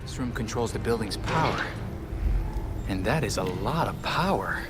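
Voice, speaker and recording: An actor playing a wry young vigilante hero in a video game, informal and half talking to himself. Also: male voice, mid-range voice, lively intonation, moderate pace, natural voice. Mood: pensive, determined. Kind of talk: cinematic video game acting. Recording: loud game music behind.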